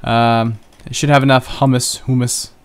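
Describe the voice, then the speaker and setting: Young man, gameplay commentary, chatty, self-joking, smooth resonant voice, keyboard clicks behind. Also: medium pitch, little pitch movement, moderate pace. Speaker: gaming channel host. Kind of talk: casual Let's Play commentary.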